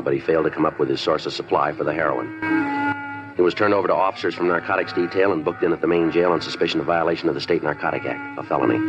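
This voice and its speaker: An actor playing a terse, deadpan police detective who narrates and questions suspects in a 1950s radio crime drama. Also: male voice, very deep voice. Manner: clipped, deadpan, flat narration. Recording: lo-fi 1950s radio recording with music.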